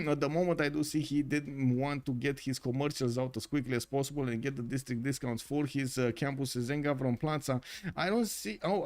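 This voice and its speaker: A man's voice: a little high, darkish tone. A man from Romania with a Romanian accent, giving live commentary on a strategy game as a caster and answering chat, with many ums and uhs. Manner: filler-heavy, reads chat aloud. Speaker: esports caster.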